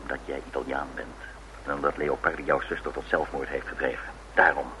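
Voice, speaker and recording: An actor playing a cool, terse private detective in a radio play, deadpan and clipped. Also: male voice, rumbling low, a little fast, heavily acted. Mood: cool, accusing. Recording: noisy old radio drama recording.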